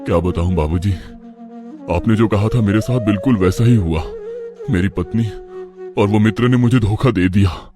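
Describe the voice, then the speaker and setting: A man's voice: fairly low pitch, normal speed, music in the background, lightly acted. A man playing an earnest, kind-hearted young village merchant in a cartoon moral story, speaking sincerely and respectfully. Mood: dejected. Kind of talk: Hindi animated moral story dialogue.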